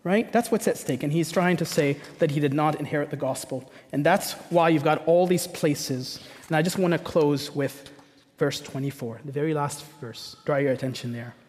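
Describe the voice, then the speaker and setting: A man's voice: on the high side, moderate pace, a little mellow. A man from Egypt preaching a sermon as a pastor, conversational, with emphatic repetition and rhetorical questions. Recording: long hall reverberation.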